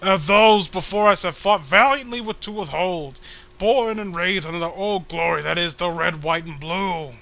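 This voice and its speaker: A man's voice: sits high, normal speed, creaky, pushed and bright, exaggerated voice. A man voicing a pompous, indignant patriotic comic hero, delivering stumbling, grand oratory.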